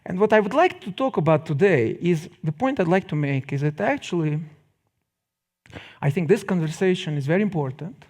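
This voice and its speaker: A middle-aged man lectures to the public in Russian-accented English. His voice sits a bit higher than most men's. He phrases things deliberately, pausing for effect and adding wry remarks. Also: lively intonation, darkish tone. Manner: deliberate phrasing with dramatic pauses and wry asides.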